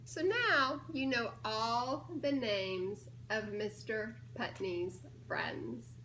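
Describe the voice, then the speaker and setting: A woman's voice: on the high side, extremely slow, bright, forceful tone, slight background noise, rough recording. A woman reading a picture book aloud to young children, playfully coaxing them to guess answers in a giggly manner. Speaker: storybook reader, children's ministry director. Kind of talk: children's story time read-aloud with questions to young listeners.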